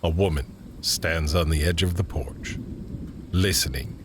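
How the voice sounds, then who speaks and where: Male narrator, bottom-register voice, measured, scripted scene description, slight background ambience. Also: sits low, fairly even intonation, a little hiss or hum. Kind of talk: scripted screenplay-style narration.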